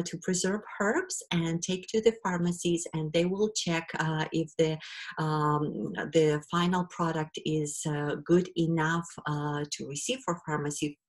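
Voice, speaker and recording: Middle-aged Ukrainian-accented woman, webinar presenter, low voice, unhurried, hesitant with many fillers.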